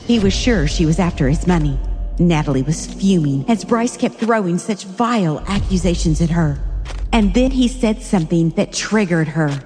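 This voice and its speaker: Mellow woman narrator with reverb. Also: on the low side, lively intonation, normal speed, soft background music. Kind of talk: scripted dramatic story narration.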